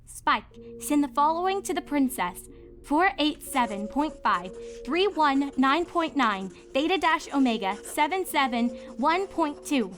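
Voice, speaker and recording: A woman acts out an earnest young female pony from a cartoon-style storybook. She speaks high and emphatically, with precise wording and a bossy edge. Music plays softly behind her. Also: big pitch swings, unhurried, full character voice. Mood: frantic. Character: earnest, excitable young female magical pony student, bossy under pressure.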